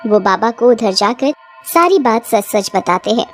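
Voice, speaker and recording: A woman's voice: a little high, expressive, measured pace. A woman reading scripted narration for a cartoon story, with music behind her.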